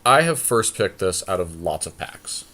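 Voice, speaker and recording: A man's voice: sits low, big pitch swings, normal speed, sharp tone. A man co-hosting a podcast, thinking aloud in casual, slangy commentary as he plays a card game draft. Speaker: podcast co-host.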